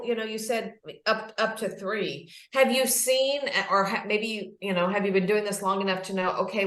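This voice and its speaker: A woman's voice: medium pitch, a bit bright. A woman hosting an interview podcast, thinking aloud as she asks questions and reacting with exclamations. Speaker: podcast host. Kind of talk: conversational interviewing. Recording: roomy, echoing sound.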